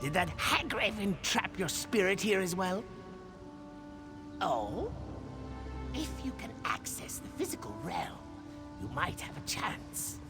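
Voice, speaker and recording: Wildly swooping, wary crow spirit. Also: female voice, mid-range voice, sing-song, takes it slowly, pauses often, music in the background. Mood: suspicious. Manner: suspicious, theatrical, quizzical. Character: wary, chatty crow spirit in nature realm. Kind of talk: video game character acting.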